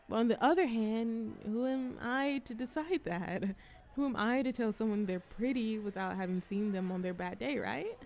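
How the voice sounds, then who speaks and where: A woman's voice: medium pitch, darkish tone, slight background noise, natural voice. A woman playing a shy, socially anxious young woman in a café audio roleplay, chatting in a hesitant, self-deprecating ramble. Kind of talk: roleplay ASMR audio drama, conversational monologue.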